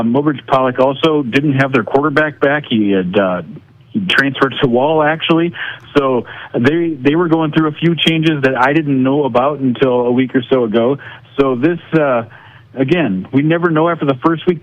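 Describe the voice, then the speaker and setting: A man's voice: mid-range voice, moderate pace, dull audio. An American man giving casual sports analysis as a guest broadcaster on a radio show.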